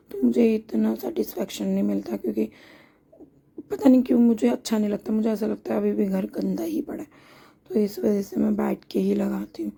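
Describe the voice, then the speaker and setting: A hoarse woman vlogger narrating her daily home routine in a chatty, homely way, explaining each step as she goes. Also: medium pitch, mellow. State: hoarse from a sore throat.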